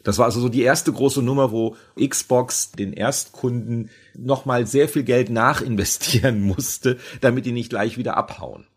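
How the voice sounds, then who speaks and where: A man's voice: mid-range voice, normal speed, few pauses, slightly rough. A man in a casual podcast discussion, telling long anecdotes and rambling explanations. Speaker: podcast guest, former industry insider.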